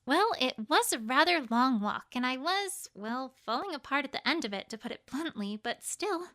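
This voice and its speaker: A woman's voice: sits high, expressive, moderate pace, bright, forceful tone. A woman voicing an earnest, bookish young female unicorn in a cartoon-pony story, explaining things carefully and a little formally. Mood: earnest, bashful.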